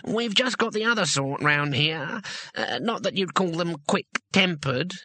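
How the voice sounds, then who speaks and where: Man voicing a wary little gnome, raised pitch. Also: expressive, sharp tone, dry recording, full character voice. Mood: cautious. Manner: slow, hesitant explaining. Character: tiny male gnome, wary and matter-of-fact.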